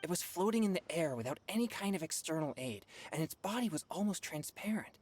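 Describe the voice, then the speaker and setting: A woman's voice: lowish voice, expressive, fairly quick, a little mellow, slight background noise, close to an everyday voice. A woman playing an earnest, serious teenage boy exorcist in an anime game, speaking in a formal, self-critical way.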